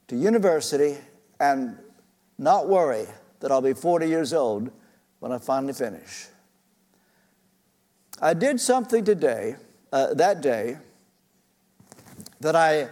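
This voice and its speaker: A man's voice: a little high, sing-song, slow pace, long pauses, darkish tone. An older man preaching a sermon, telling a personal story in an earnest, conversational way with frequent fillers. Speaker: pastor. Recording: reverberant church hall.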